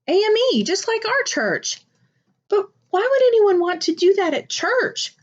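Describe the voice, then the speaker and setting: Storybook role: earnest, troubled little boy played by a woman, pitched up, full of questions, dull recording. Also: big pitch swings, unhurried, heavily acted. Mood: puzzled, troubled. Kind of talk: picture book read aloud.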